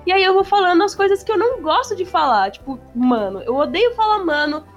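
A woman with a São Paulo accent chatting casually, full of slang and swearing, speeding up when she gets excited. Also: very high voice, a little fast, muffled recording, faint music behind. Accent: São Paulo Portuguese. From São Paulo, Brazil. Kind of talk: casual chat.

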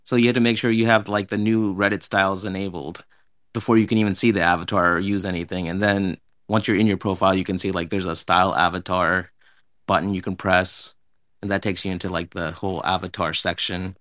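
A man's voice: low-pitched, steady tone, a little fast, a bit bright, no echo, clean studio sound. A man in a casual online discussion, explaining things informally step by step and leaning on the word "like". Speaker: participant in an online audio discussion.

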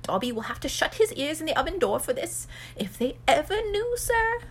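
Woman acting small male storybook elf, very high squeaky voice, servile, earnest, pleading. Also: very animated, normal speed. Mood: anxious, deferential. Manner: earnest, pleading, speaks of self in third person, deferential.

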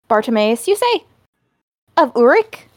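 Casting: woman playing a cheeky imp in a bronze disc, high-pitched and sing-song, wheedling and put-upon. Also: big pitch swings, unhurried, no room sound, studio quality.

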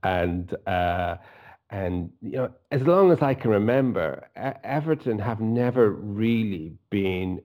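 A man's voice: on the low side, slow pace. A man with a Dublin Irish accent, co-hosting a casual football chat and laughing often. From Ireland. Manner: laughs often, animated and chatty. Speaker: podcast co-host.

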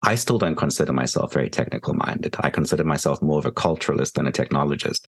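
South African man, 40s, very low voice, reflective podcast guest. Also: expressive, fast talker, vocal fry, husky. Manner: reflective, with frequent ums and restarts. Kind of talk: podcast interview.

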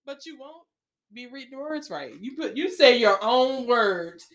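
Woman, podcast co-host, teasing, laughing, slightly high, lively voice. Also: unhurried. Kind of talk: casual comedic podcast banter.

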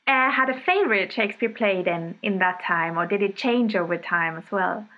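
Female podcast host, mid-pitched, curious questioner, muffled lo-fi sound. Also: moderate pace. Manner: asks questions, brief encouraging replies. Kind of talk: casual interview chat.

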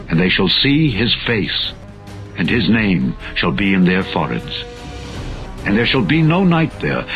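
A man narrating a dramatized scripture reading in a solemn, measured, formal manner. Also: fairly low pitch. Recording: dull lo-fi audio with music behind. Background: background music.

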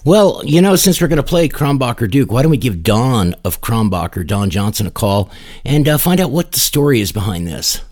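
A man's voice: medium pitch, sing-song, a little fast, a little mellow. Wry, playful male podcast host. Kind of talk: casual joking interview.

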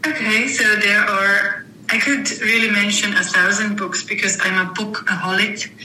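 A woman answering interview questions in a thoughtful, halting way, often restarting her sentences. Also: medium pitch, fairly even intonation, bright, forceful tone, echoey room, slight background noise, low-quality recording. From Germany. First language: German. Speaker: guest, author and mentor.

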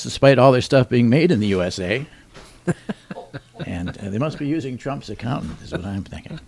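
A middle-aged man co-hosting a talk show, reading news items with wry deadpan punchlines and chuckling at his own jokes. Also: medium pitch, no echo. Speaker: radio show co-host. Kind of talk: joking news rundown.

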